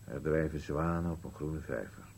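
An actor playing a stubborn, bitter old husband in a radio play, with a blunt, contrary, grumbling manner. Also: male voice, a bass voice, normal speed, creaky, darkish tone, slightly rough, exaggerated voice. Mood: bleak, matter-of-fact. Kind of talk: dialogue in an absurdist radio play.

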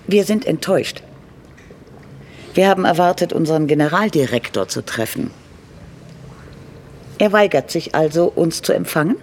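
A man's voice: a little high, normal speed, pauses often, slight background noise, close to an everyday voice. An actor, a man by the sound, playing a level-headed, insistent staff spokesperson with a formal, firm manner. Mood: disappointed, insistent. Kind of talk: radio drama dialogue.

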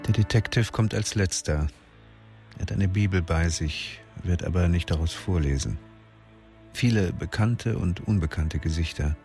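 A man's voice: low-pitched, normal speed, a little mellow, faint music behind. A man narrating a radio drama in short, sparse, sombre sentences. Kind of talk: scripted present-tense narration.

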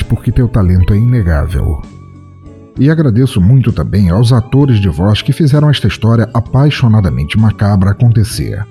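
A man's voice: fairly low pitch, dark tone. A man hosting a podcast, addressing listeners in a scripted, theatrical and wry, wordy style. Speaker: podcast host. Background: background music.

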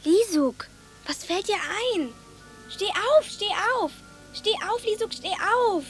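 A woman's voice: extremely high pitch, expressive, takes it slowly, exaggerated voice. A woman acting a caring young village girl in a radio drama, a patient storyteller who coaxes and urges. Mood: urgent, pleading. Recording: studio radio drama with music.

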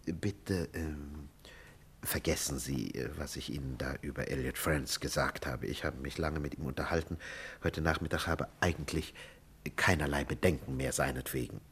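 An extremely deep voice belongs to a grave, guarded doctor in a fifties radio play. He is courteous and formal, feeling his way hesitantly through sentences. The old recording carries faint noise. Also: normal speed, fairly bright tone. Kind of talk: 1950s German radio play acting.

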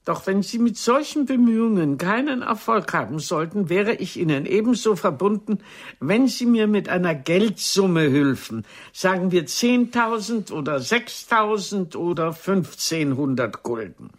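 A woman playing a proud, bitter, sharp-tongued aging stage actress in a radio drama, with a haughty, theatrical delivery. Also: medium pitch, animated, measured pace. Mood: formal reading. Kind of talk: radio drama dialogue acting.

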